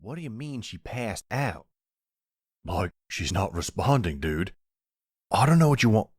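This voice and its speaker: A nervous, none-too-bright young guy, acted by a man in an audio drama. He stammers in slangy bro talk, with a low, husky voice recorded close and dry. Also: very animated, a little slow, dry recording, exaggerated voice. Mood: defensive, agitated. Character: panicky dim young bro-talking reluctant kidnapper. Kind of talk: audio roleplay acting.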